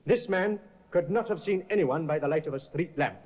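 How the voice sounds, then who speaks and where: An actor playing a shrewd, polished defense barrister in a 1950s radio drama, speaking in a measured, probing way. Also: male voice, a little high, close to an everyday voice. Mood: cross-examining. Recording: dull lo-fi old radio broadcast.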